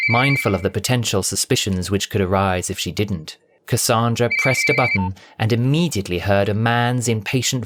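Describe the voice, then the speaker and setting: A man narrating a dramatic audio story from a script in a theatrical, expressive way. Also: lowish voice, heavy background noise.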